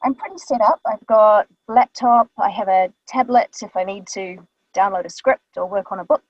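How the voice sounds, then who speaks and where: A woman's voice: mid-range voice, moderate pace, dry recording. A woman with a New Zealand accent, a voice artist explaining her work in casual, chatty talk with many ums, speaking into a studio microphone in a small vocal booth. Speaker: voice artist guest. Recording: dull, dry booth sound.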